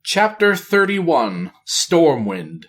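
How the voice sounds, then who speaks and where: A man reading a fantasy novel aloud as scripted narration, in a measured, theatrical manner. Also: a little high, big pitch swings, fairly bright tone. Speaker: audiobook reader.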